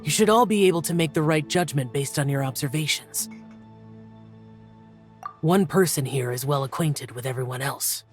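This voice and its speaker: A man's voice: fairly high pitch, normal speed, pauses often, soft background music, natural voice. A man playing an aloof, stern young immortal demon slayer in a video game, curt and solemn. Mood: firm, reluctant. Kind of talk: video game character acting.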